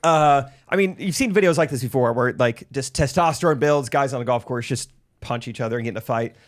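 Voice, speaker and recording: A man's voice: medium pitch, a little fast. A man co-hosting a podcast, joking around and steering the casual chat. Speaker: podcast co-host.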